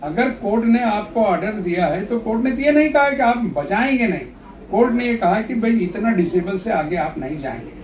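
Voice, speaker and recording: High-pitched man in an echoey room, emphatic. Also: expressive, a little fast. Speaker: opposition party politician giving an interview. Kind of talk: spoken political interview, argumentative explanation. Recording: echoey room, distant mic, background noise.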